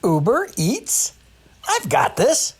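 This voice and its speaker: A woman's voice: on the high side, wildly swooping pitch, slow, breathy edge, heavily acted. A woman playing an eager, emphatic character in a scripted food-delivery commercial. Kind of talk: scripted advertisement acting.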